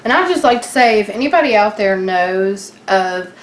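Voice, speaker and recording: A woman's voice: mid-range voice, expressive, moderate pace. Casual, wry woman in an echoey room. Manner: informal, wry, joking, mild swearing. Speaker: podcast co-host. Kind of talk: casual unscripted chat about TV. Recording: echoey room, background noise.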